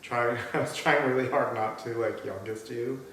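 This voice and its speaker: A man's voice: medium pitch, normal speed, fairly bright tone, heavy reverb, a little hiss or hum. A young man co-hosting a vlog, with teasing, playful banter. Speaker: podcast co-host. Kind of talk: casual vlog chat.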